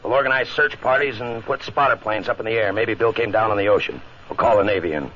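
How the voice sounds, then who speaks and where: An actor playing a stubborn, loyal army colonel in a 1950s radio drama, speaking in a firm, curt, commanding way. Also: male voice, lowish voice, fast talker, sharp tone. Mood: determined. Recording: dull, hissy 1950 radio recording.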